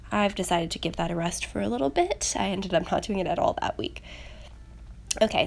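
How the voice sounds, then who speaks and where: A young woman, slightly raspy as she gets over a cold, chattily narrating a craft video in a casual, self-deprecating way. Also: mid-range voice. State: getting over a rough cold. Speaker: planner channel host.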